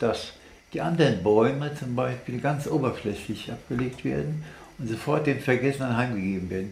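A man explaining science off the cuff, halting and deliberate, as if thinking aloud. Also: medium pitch, creaky, a little mellow. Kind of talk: unscripted explanatory monologue. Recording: echoey room with background noise.

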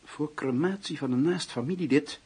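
A man's voice: on the high side, moderate pace, darkish tone, husky. A man playing a stern, disapproving, moralizing doctor in a radio drama. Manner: stern, censorious.

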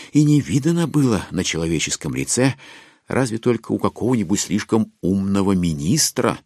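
An actor as a wry narrator, reading a classic novel as dramatic literary storytelling. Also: male voice, low-pitched, gravelly, darkish tone, husky, no room sound, studio quality, heavily acted. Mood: wry, solemn.

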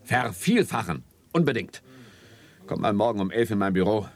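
A man's voice: on the low side, animated, moderate pace, background noise. A man acting a cocksure, patronizing stock-market speculator in a 1970s radio play, boastful and slangy. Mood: patronizing, jovial. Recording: 1970s studio radio play, crowd chatter behind.

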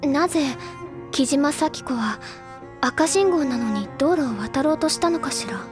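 高い声の文学少女風の先輩女子. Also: female voice, high-pitched, expressive, unhurried, music in the background. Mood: serious, probing. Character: earnest, childishly sulky literary high-school senior girl. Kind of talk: anime audio drama dialogue.

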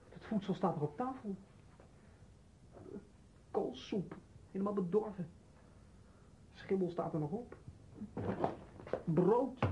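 A man's voice: sits high, lo-fi sound, heavily acted. An actor playing an eager, lovesick young horseman in a radio drama, earnest and spirited in his speech. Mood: worried, urgent. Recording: noisy old radio play recording.

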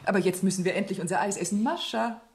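An actress playing a worldly, teasing society beauty, poised and ironic, in a German radio play. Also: female voice, mid-range voice, fast talker, natural voice. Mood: disdainful, amused.

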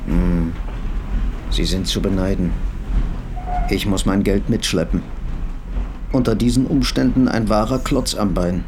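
A wealthy, middle-aged merchant in flight in a naturalistic radio play. His lowish voice is reserved and measured, weary and resigned. Train rumble is clearly heard behind him. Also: fairly even intonation. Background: moving train ambience.

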